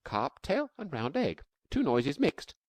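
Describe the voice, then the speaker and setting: A man voicing an eager, chattering little cave girl with a high, squeaky voice. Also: wildly swooping pitch, takes it slowly, no room sound, exaggerated voice.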